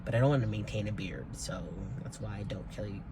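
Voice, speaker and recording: A man's voice: on the low side, quick pace. A young man talking in a rambling, hesitant way, with many fillers and casual swearing, recording a personal vlog from inside his car. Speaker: vlogger. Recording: car noise in background.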